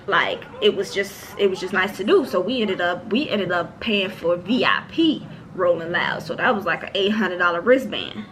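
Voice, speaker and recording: A woman in her late twenties telling a personal story to her viewers in a casual, expressive way. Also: on the low side, moderate pace, hardly any pauses, a little hiss or hum, low-quality recording. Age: late 20s. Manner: chatty, expressive, talks straight to her viewers. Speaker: podcast host.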